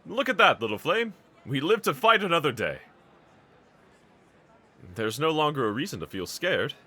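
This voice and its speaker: A man playing a brave, tender young swordsman in an anime audio roleplay, speaking gently and earnestly to a companion in formal phrasing. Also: fairly high pitch, big pitch swings, moderate pace, full character voice. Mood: bright, encouraging.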